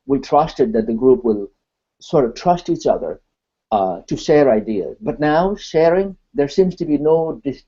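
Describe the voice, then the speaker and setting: Mellow man, earnest, stacks his points in lists, muffled call audio. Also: mid-range voice. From Nepal. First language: Nepali. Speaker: discussion participant. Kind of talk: online video call discussion. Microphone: video call.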